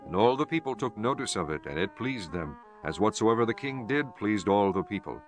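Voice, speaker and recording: A man's voice: fairly low pitch, lively intonation, fairly quick. A man narrating a dramatized scripture audiobook in a scripted, formal reading style. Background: instrumental music.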